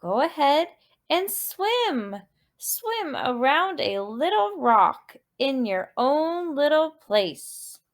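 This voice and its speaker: High, slow, expressive woman storyteller. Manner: expressive, deliberate, prompting with questions and repeated words. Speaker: dance teacher reading a movement story. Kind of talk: children's guided storytelling.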